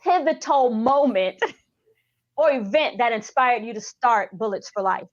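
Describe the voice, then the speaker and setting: A woman's voice: fairly high pitch, unhurried. A woman interviewing casually on a podcast, affirming and echoing what her guest says, on lo-fi audio. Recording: dull, lo-fi voice audio.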